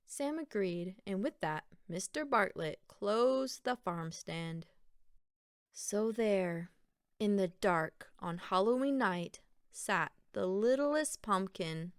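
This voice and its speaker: A woman narrating a children's picture book aloud in a deliberate, expressive storytelling manner. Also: medium pitch, clear, resonant tone, no echo, clean studio sound.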